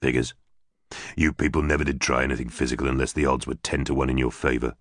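A man's voice: a bass voice, flat delivery, fast talker, a bit bright, no room sound, studio quality, voice pushed down. A man voicing a tough ex-army psychic in an audiobook, curt and menacing, with occasional swearing. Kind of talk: audiobook character voice.

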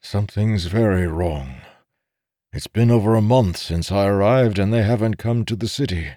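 A man's voice: lowish voice, normal speed, dark tone, no room sound, clean studio sound, voice pushed up, lightly acted. A man voicing a weary, earnest soldier in an audiobook story, with a grave and formal manner. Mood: worried, earnest. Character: weary, earnest soldier, frustrated and doubted as madman.

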